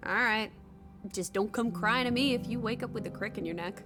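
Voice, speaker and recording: An actress voices a sociable young female companion in a narrative video game. She is teasing and a little enigmatic, with a medium-pitched voice. Her delivery is breezy and coaxing, with faint music underneath. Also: brisk, lightly acted. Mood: playful, teasing. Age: young adult. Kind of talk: naturalistic video game voice acting.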